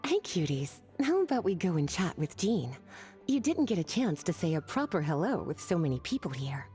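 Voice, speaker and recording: Coy teasing mage lady, lowish and flirty, video game, music behind. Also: big pitch swings, normal speed. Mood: playful.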